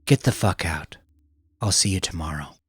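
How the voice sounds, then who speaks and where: A man's voice: low voice, expressive, moderate pace, fairly bright tone, slightly rough, no room sound, clean studio sound, heavily acted. A man voicing a hard-nosed, fed-up female police detective in a blunt, profane way for a crime audiobook. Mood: cold, curt.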